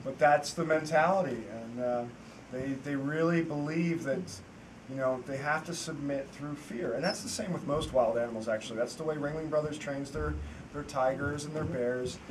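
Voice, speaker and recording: A man's voice: medium pitch, moderate pace. Man, filmmaker, panel Q&A, rambling, earnest, distant mic, audible room noise. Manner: rambling, earnest, with many restarts. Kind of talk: panel Q&A answers. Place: festival screening hall.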